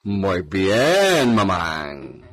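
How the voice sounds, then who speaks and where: A man playing a self-important, wealthy hacienda master in an Ilocano comedy radio drama, speaking with an Ilocano accent in a measured, musing way. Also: sits low, wildly swooping pitch, a bit bright, heavily acted. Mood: courteous, guarded. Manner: measured, lordly, musing with hums.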